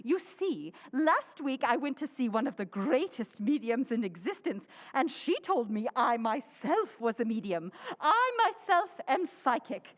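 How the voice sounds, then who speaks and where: An actress playing a bossy, pompous society hostess who fancies herself a medium, in old-time radio drama style with grand theatrical delivery. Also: female voice, fairly high pitch, very animated, a little slow, hardly any pauses. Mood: bossy, fussy. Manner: imperious, theatrical, grandly intoning. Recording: echoey hall, live audience behind.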